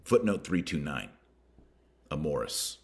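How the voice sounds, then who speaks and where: An American man hosting a podcast, reading and commenting in an emphatic, deliberate way that stresses key words and names. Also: rumbling low, big pitch swings, vocal fry, darkish tone.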